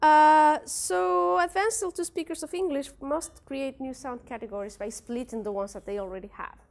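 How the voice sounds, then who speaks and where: A young woman whose first language is Spanish presents her research at an academic workshop. Her voice sits a little high, and she speaks English with a Spanish accent. She hesitates often, filling gaps with "uh" and "like" and restarting her sentences. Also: normal speed, gravelly. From Spanish-speaking country. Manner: hesitant, many fillers and restarts.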